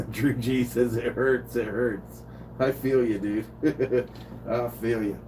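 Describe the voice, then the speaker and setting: A man's voice: medium pitch, steady tone, slow pace, darkish tone. An older man streaming casual play-by-play of a game, chatting with viewers in a laid-back way. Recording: distant mic, faint room noise.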